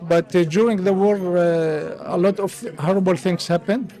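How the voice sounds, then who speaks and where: Syrian accent guy, pretty high voice, telling his own story kinda haltingly. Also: steady tone, dark tone. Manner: halting, frequent "you know". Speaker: interviewee, asylum seeker. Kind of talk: personal testimony.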